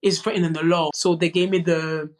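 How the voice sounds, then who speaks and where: A woman's voice: fairly low pitch, flat delivery, a little fast. Young woman, French native, earnest podcast guest, many restarts. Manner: earnest, many restarts and "you know" asides. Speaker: guest, boxer. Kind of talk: candid conversational storytelling.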